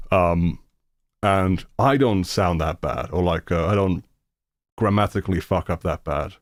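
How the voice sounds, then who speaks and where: Low-voiced Finnish streamer, non-native English, blunt, halting, dry close sound. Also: male voice, no room sound. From Finland. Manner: rambling, halting with many fillers, blunt and profane. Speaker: streamer reacting to videos. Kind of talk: casual reaction commentary.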